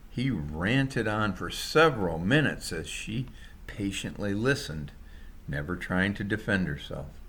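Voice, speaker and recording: A man's voice: fairly low pitch, a bit bright, husky. A man reading his own written story aloud as a scripted narration. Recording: noticeable background noise.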